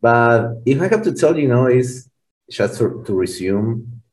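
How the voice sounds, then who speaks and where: A man in his forties from Colombia with an Argentine Spanish accent, speaking English as a second language in a relaxed, rambling interview chat full of fillers and restarts. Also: mid-range voice, a little slow. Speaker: band member guest.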